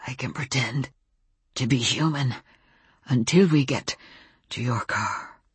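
A woman voicing an old male werewolf in an audiobook, speaking slowly and thickly in halting phrases with a Welsh accent. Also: husky, no room sound, exaggerated voice. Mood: controlled, thoughtful. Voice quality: low, hushed, slow and thick. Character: old male werewolf, slow, menacing yet almost shy. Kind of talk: audiobook character dialogue.

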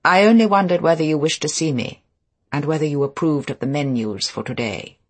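A woman voicing a cold, deferential female housekeeper with a measured, stiffly polite, formal manner. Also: low-pitched, breathy edge. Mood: coolly insistent.

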